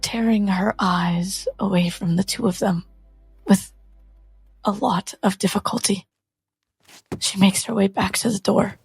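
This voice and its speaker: Woman narrating with dramatic pauses. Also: mid-range voice, steady tone, creaky, a little mellow, breathy edge, faint music behind. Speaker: player in a tabletop game. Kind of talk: scene narration in roleplay.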